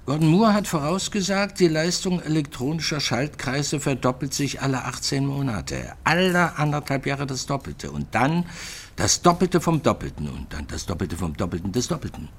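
An actor in a radio crime drama playing an old East German scientist, sardonic and guarded, with a pedantic, wry manner. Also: male voice, mid-range voice, moderate pace, few pauses. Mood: lecturing, bitter. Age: older. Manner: pedantic, wry, animated with hushed asides.

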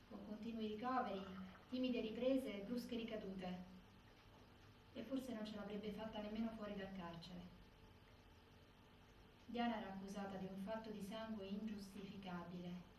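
Actress as a grieving sister giving a grave measured speech, super echoey, far from the mic. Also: female voice, medium pitch, a little mellow, natural voice. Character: grieving, resolute sister of a dead prisoner. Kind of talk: scripted theatrical monologue. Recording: very echoey, distant, faint noise.

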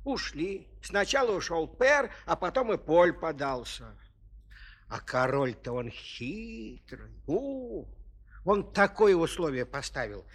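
A man's voice: on the high side, very animated, takes it slowly, slightly rough, full character voice. An actor playing an elderly peasant father who tells his tale in a folksy, lamenting way, exclaiming and repeating himself in a children's audio play. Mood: folksy, rueful. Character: elderly fretful peasant father of three sons. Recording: 1970s studio audio play.

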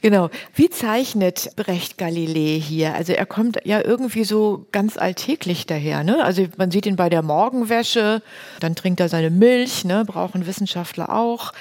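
A woman's voice: mid-range voice, moderate pace, darkish tone. Woman hosting an informal literary conversation. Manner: informal, asking questions and affirming. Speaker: host.

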